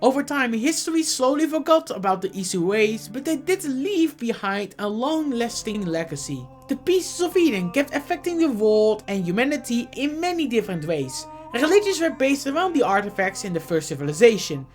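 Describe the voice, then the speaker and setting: A woman's voice: fairly high pitch, big pitch swings, moderate pace, few pauses, soft background music. A woman with a cold narrating a scripted explainer as a video host. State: has a cold.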